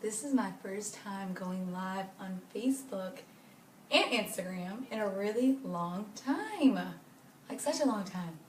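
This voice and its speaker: A young woman with a hoarse, raspy voice, chatting earnestly with viewers during a live stream from her home. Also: medium pitch, expressive, unhurried, a little mellow, far from the mic, a little hiss or hum. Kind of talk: casual faith-based advice talk to viewers. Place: home living room. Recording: echoey room, voice off-mic.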